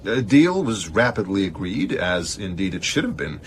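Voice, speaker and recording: An actor playing an urbane middle-aged literary agent in a radio drama, speaking precisely with dry, slightly haughty wryness. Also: male voice, sits low, sing-song, normal speed, slight background noise. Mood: wry, assured. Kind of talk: naturalistic radio drama acting.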